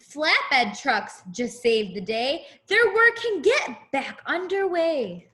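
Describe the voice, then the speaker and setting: A woman reading a picture book aloud to young children as a teacher, in an expressive, deliberate way with simple explanations. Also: sits high. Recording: echoey room.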